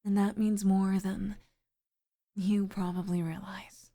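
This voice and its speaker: A woman playing a sweet, flirtatious middle-aged single mother in an intimate ASMR roleplay, speaking softly and breathily, often near a whisper. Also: medium pitch, flat delivery, slow pace. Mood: tender, grateful.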